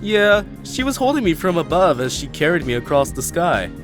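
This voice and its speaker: A man's voice: a little high, sing-song, moderate pace. A man voicing a spacey, laid-back male yak witness with a drawling, dreamy way of talking. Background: background music.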